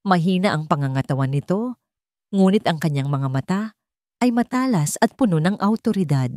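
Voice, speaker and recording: A woman's voice: lowish voice, animated, moderate pace, a little mellow, no room sound. A woman reading dramatic scripted narration as an audio storyteller.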